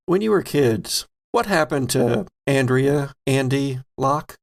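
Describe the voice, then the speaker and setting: A man narrating a tabletop horror game as game master, with deliberate, dramatic storytelling. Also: medium pitch, lively intonation, gravelly. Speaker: game master, podcast host.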